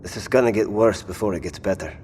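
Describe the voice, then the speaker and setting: A male actor as a caring survivor in an audio drama, wry and concerned. His low voice rings in a long reverb with faint noise behind. He sounds gruff yet tender. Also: fast, darkish tone, lightly acted.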